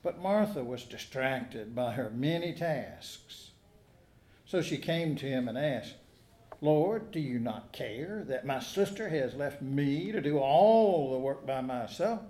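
Mellow-voiced pastor in an echoey church. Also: male voice, mid-range voice, expressive. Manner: storytelling, measured and earnest. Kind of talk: sermon with scripture reading. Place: church sanctuary.

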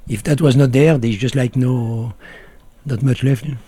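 A man's voice: medium pitch, fairly even intonation, moderate pace, mellow, husky, no room sound. A middle-aged man with a French accent, a guest in a casual interview, talking bluntly in short, broken phrases. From France.

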